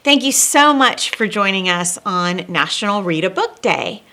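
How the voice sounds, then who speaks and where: A woman hosts a children's read-along at an unhurried pace. Her voice sits at a medium pitch, and she delivers the story expressively, with deliberate pauses and emphasis. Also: expressive, pushed and bright. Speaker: storyteller and host. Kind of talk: children's picture-book read-along with asides.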